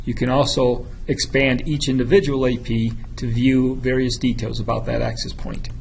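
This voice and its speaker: A man giving a methodical, instructional narration of a software tutorial, as a product demonstrator. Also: medium pitch, flat delivery, moderate pace, gravelly, slight background noise, rough recording.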